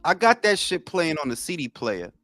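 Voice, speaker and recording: A man in his forties from New York, co-hosting a casual talk show and telling loud, profane stories. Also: fairly high pitch, normal speed, no echo, soft background music. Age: 40s. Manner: animated, profane storytelling. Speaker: podcast co-host. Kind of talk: casual chat.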